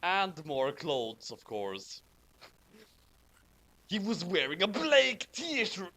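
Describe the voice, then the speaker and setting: A man with a notably high voice hosts a comedic reading. His tone is bright and forward. He moves between reading story lines aloud and chatting casually about them. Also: big pitch swings, very slow, poor audio. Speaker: host of fanfiction reading commentary.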